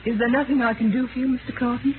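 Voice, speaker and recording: An actress playing a gentle, devoted young woman about to marry, in 1930s radio drama style. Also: a little high, steady tone, rapid-fire, slight background noise, close to an everyday voice. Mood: gentle concern. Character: Lucie Manette, gentle devoted young bride-to-be. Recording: tinny 1930s radio broadcast, faint music.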